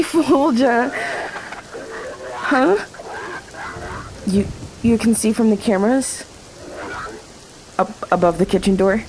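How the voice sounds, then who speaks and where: Actress as sardonic female waitress, harried, higher-pitched, slow delivery, radio drama, noisy rainy backdrop. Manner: curt and sardonic, flustered and placating with the boss. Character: harried, sarcastic waitress working a night shift alone. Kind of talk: radio play acting.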